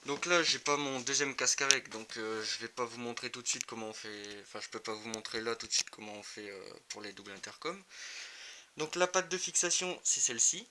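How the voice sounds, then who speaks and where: A man giving a casual, improvised and somewhat hesitant hands-on product demonstration for a video. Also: medium pitch. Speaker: motorcycle gear video reviewer. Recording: clearly noisy amateur video recording.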